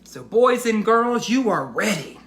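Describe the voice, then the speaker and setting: A man's voice: high-pitched, very animated, a bit bright. Male educator reading aloud for children. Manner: deliberate emphatic phrasing, addressing children directly. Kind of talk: children's bedtime read-aloud and talk to young listeners.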